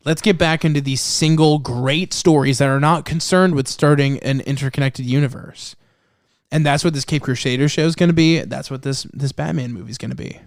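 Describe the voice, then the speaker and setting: Enthusiastic man podcasting, smooth dry voice. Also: on the high side, fairly quick, no echo. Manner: enthusiastic, opinionated. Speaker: podcast host. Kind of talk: casual chat.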